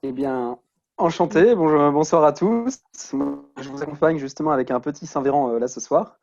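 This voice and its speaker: A man's voice: on the high side, normal speed, darkish tone, faint music behind. A man in his thirties casually introducing himself on a video call, hesitant and repeating words as he goes. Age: 30s. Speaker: alumni participant. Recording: dull lo-fi video-call connection.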